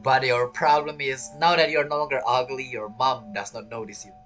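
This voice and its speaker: A man giving casual reaction commentary over a game as a streamer, blunt, jokey and halting. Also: mid-range voice, fairly even intonation, fairly bright tone, soft background music. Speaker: gaming streamer.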